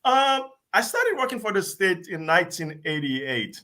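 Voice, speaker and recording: A man in his sixties from Haiti, a guest telling his story in a relaxed, laughing way. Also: high voice. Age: 60s. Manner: warm, laughing, unhurried storytelling. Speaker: guest actor. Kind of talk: casual interview chat.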